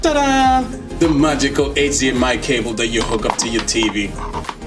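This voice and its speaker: A man's voice: mid-range voice, expressive, moderate pace, loud music behind the voice. A man giving casual, enthusiastic unboxing commentary as a tech reviewer, in a playful, slangy, joking manner. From Netherlands. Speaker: tech reviewer, video host.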